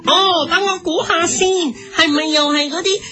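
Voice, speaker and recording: A woman's voice: very high-pitched, steady tone, normal speed, over music. A woman voicing a perky, easily impressed TV co-host in a comedy parody, gushing in Hong Kong Cantonese. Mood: gushing, amazed. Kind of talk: parody TV cookery show hosting.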